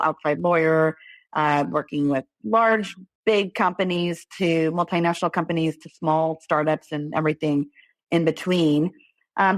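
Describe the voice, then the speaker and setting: A woman's voice: deep voice, dry recording. A middle-aged woman with an American accent, telling her story conversationally as a podcast guest. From Los Angeles, California. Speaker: podcast guest, author and lawyer. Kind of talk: conversational interview, personal storytelling.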